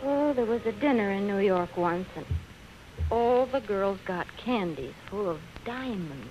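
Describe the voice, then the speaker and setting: An actress playing a spoiled, whiny, uneducated social-climbing young wife in 1930s radio drama, wheedling and slangy. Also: female voice, medium pitch, expressive, a little slow, warm tone, slight background noise, natural voice. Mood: petulant. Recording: dull old radio recording, faint music.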